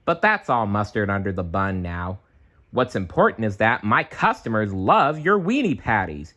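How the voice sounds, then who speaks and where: A bossy storybook restaurant manager , voiced by a man, forceful and animated. He sounds brusque and commanding. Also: fairly low pitch, moderate pace. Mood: smug. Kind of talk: storybook read-aloud character.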